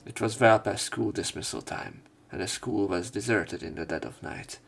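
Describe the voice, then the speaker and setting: A man with a fairly low voice full of vocal fry hosts a let's play. He reads visual novel narration and dialogue aloud. His own commentary is casual. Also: moderate pace, fairly bright tone.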